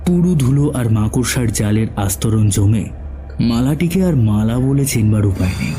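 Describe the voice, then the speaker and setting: Male narrator for suspense audio story: mellow, dark tone, mid pitch, soft music underneath. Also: expressive, moderate pace.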